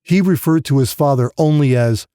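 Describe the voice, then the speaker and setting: A man's voice: a little high, moderate pace, warm tone, smooth, no room sound, clean studio sound. A man reading a grim novel as scripted audiobook narration.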